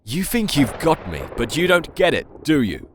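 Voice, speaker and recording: Man acting a smug young game antihero, lively swings in pitch, taunting, defiant, faint noise. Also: moderate pace. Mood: threatening, defiant. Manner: taunting, cocky. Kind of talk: fan-dub fantasy game voice acting.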